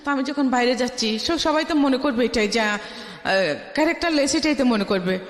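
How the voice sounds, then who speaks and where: High-voiced Bangladeshi woman in echoey room. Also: brisk. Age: middle-aged. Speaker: guest telling her life story. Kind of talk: personal storytelling in a radio interview. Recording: echoey room with long reverb.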